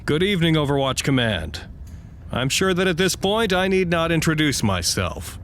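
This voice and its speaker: An actor playing a wry, excitable staff announcer at a secret research facility in an audio drama, his formality prone to fluster. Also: fairly high pitch, moderate pace, slight background noise. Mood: composed, formal.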